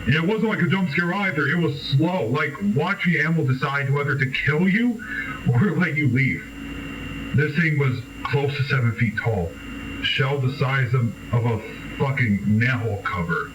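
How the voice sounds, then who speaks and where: A man playing a scared, bitter nineteen-year-old street kid confessing in a horror monologue, halting and grave, with swearing and dramatic pauses. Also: medium pitch, close to an everyday voice. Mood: frightened. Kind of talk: found-recording horror monologue acting. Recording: noisy, distant, muffled amateur radio-like tape.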